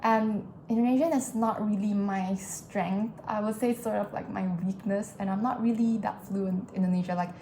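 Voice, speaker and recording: A woman's voice: medium pitch, fairly even intonation, moderate pace, few pauses, warm tone, slight background noise, low-quality recording. A young woman vlogging in a casual, chatty way, explaining things with lots of "like" fillers. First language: English. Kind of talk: casual vlog diary.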